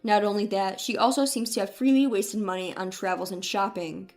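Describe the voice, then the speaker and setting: A woman's voice: medium pitch, steady tone, a little fast, darkish tone, soft background music, pitched below the performer's own voice, close to an everyday voice. A woman voicing a weary, disappointed middle-aged father in a subdued, matter-of-fact way in a read story.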